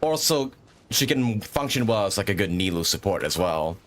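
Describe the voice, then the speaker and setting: A man's voice: on the low side, very animated, normal speed. A man hosting a livestream with casual, rambling gaming commentary and frequent chatty asides. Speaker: livestream host.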